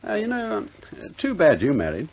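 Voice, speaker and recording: A playful flyer in a 1950s war film. His husky voice sits a little high and leaps about with great animation, coaxing and ribbing. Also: huge pitch swings, a little fast, darkish tone, heavily acted. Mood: jaunty. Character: jaunty, flirtatious RAF airman ribbing his friend.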